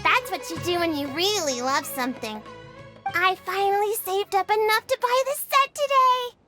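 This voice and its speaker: A woman's voice: very high-pitched, normal speed. A woman voicing a stubborn, pouty child in a video game, insistent and huffy. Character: stubborn, pouty child who loves collecting carvings. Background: music.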